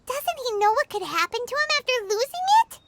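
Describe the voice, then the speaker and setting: A woman voicing a tiny, excitable, childlike floating companion in a video game, with a squeaky, cutesy, exclamatory delivery. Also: a little fast, heavily acted. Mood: indignant. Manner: cutesy, exclamatory, refers to self in third person. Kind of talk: animated video game voice acting. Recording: loud game music behind.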